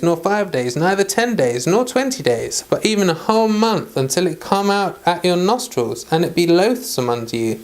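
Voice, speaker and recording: A medium-pitched voice hosting a homely devotional read-along. The delivery moves between formal scripture reading and informal, chatty talk, as someone reading aloud for themselves and for listeners. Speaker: host reading the Bible aloud.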